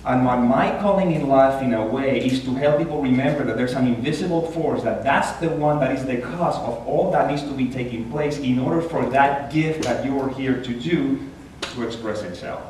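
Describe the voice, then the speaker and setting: A man with a Puerto Rican accent giving an earnest, animated inspirational talk as a guest speaker at a church. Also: normal speed, a little hiss or hum. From Puerto Rico. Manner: earnest, animated, rises in pitch when stressing a point. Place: large church hall. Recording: echoey hall, taken from far off.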